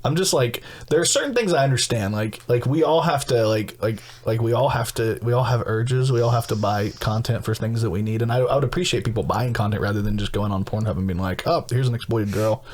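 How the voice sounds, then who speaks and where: A man's voice: medium pitch, brisk, few pauses, a little mellow. A man co-hosting a podcast in casual chat, rambling with crude jokes and frequent laughter. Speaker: podcast co-host.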